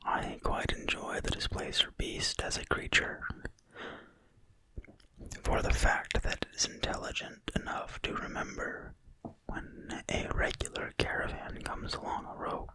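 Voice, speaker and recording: A person reading in a quiet, breathy whisper, soft and deliberate, as in an ASMR reading of a fantasy book. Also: no echo, low-quality recording. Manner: slow, soft, unhurried, deliberate. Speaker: ASMR video creator and reader.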